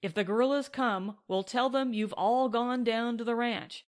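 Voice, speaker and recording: A woman's voice: a little high, fairly even intonation, moderate pace, natural voice. A woman voicing a blunt, commanding cowboy foreman with curt, plain speech in an audiobook western. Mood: firm, directing.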